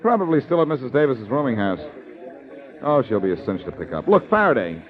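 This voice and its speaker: A man playing a cocky, sardonic amateur detective, calm under threat, in 1940s radio acting style. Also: medium pitch, fairly quick, lightly acted. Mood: teasing. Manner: sardonic, teasing. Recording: muffled old radio recording, background din.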